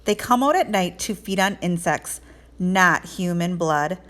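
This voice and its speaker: A woman's voice: on the low side, expressive, measured pace, fairly bright tone. A woman voicing an earnest, stubborn schoolboy in a storybook, in a plain, grudging manner. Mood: sheepish.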